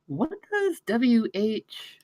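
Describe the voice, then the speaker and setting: An actress at a script table read playing an anxious, easily flustered spaceship crew member. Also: female voice, a little high, sing-song, very slow, mellow, dry recording, heavily acted. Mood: confused, sheepish.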